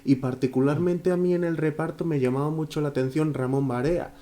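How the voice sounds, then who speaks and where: A man's voice: a little high, steady tone, a little fast, mellow. A man with a Spanish accent from Spain, co-hosting a film podcast, talking emphatically in a casual chat.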